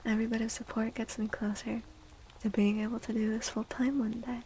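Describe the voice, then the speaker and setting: A soft-spoken woman hosting an ASMR livestream, gentle and unhurried, with a breathy, often whispered voice. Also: on the high side, level tone, slight background noise. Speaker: livestream host and tarot reader.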